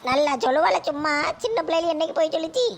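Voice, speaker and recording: A woman playing a quick-tempered old village grandmother, scolding her grandson in a comedy skit. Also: very high voice, animated. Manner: nagging, scolding, sharp rebukes.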